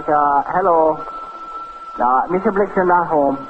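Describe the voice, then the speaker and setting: An actor playing a bossy, fussing house cook with a Chinese accent and clipped broken English, in a 1940s radio drama. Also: male voice, on the high side, unhurried, close to an everyday voice. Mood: polite, fussing. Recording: dull, noisy old radio recording.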